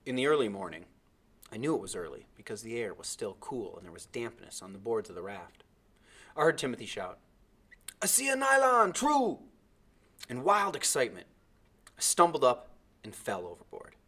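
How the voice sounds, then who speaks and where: A man narrating a storybook aloud in a dramatic, expressive way. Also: lowish voice, brisk, breathy edge. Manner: dramatic, animated.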